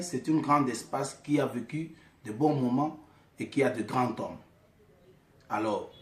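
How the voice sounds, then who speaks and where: A man's voice: medium pitch, a little mellow. A man from Kasaï in DR Congo with a Congolese French accent, whose first language is Tshiluba, giving an impassioned political address to camera and calling people out by name. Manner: fiery, accusatory, calls out names directly. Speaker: vlogger addressing his community. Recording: echoey room.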